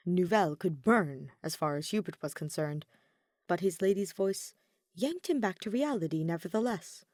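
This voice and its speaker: A woman narrates a story in audiobook style. Her pitch is slightly low and moves in a very lively way, and the recording is dry and close. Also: moderate pace, no echo. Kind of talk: scripted narration of fan fiction.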